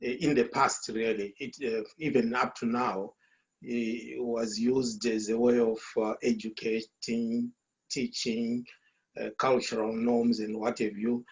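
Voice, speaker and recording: Shona-speaking man from zimbabwe, lots of uh and um, middle pitch, muffled call audio. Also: little pitch movement. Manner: halting, many fillers and repeated words. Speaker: author. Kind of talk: speaking at an online launch. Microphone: video call.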